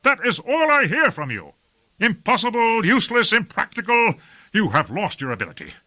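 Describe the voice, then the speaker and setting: A man's voice: fairly high pitch, lively intonation, moderate pace, voice pushed up. A man voicing an imperious, hot-tempered lord in an audiobook, harsh and urgent as he gives commands. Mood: angry, demanding. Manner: urgent, peremptory, shouting commands.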